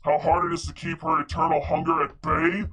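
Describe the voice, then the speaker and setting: An actor playing a menacing, lovesick man in a game, with an intense, indignant, theatrical way of speaking and a creaky, tense voice. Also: fairly high pitch, flat delivery, fairly quick, sharp tone. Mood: anguished fury. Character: menacing, lovesick man devoted to his monstrous date.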